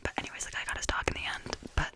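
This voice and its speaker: A young woman from Canada, whispering to a close mic as she rambles casually with many fillers and restarts, in the style of ASMR. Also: racing. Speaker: ASMR creator.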